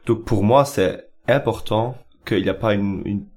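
A young man from German-speaking Belgium, whose first language is German, talks politics in a low voice. He pauses often, fills gaps with hesitations and restarts his phrases while searching for words. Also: darkish tone. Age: young adult. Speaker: podcast guest. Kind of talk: political podcast discussion.

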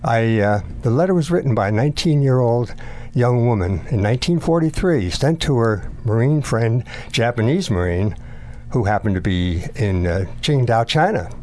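Man, nineties, mid-pitched voice, war veteran, halting reflective delivery, interview storytelling. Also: expressive, a little mellow. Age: 90s. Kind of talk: recalling memories in an interview.

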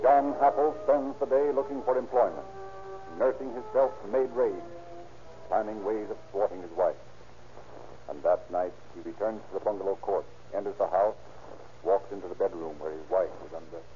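A man narrating a crime story gravely and deliberately, in the dramatic style of 1930s radio. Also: lowish voice, natural voice. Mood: grave, suspenseful. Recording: tinny old radio recording, music behind.

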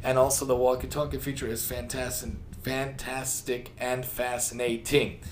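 A man's voice: mid-range voice, little pitch movement, moderate pace, a little hiss or hum. A middle-aged American man hosting a casual solo podcast, talking in an enthusiastic, emphatic way and often repeating himself. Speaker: podcast host. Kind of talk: casual solo podcast talk, tip of the day.

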